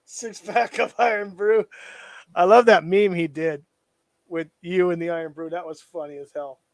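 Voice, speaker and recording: A man's voice: sits high, fairly even intonation, moderate pace, gravelly, no room sound. A man co-hosting a live stream in casual chat, laid-back and joking, laughing often. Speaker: stream co-host.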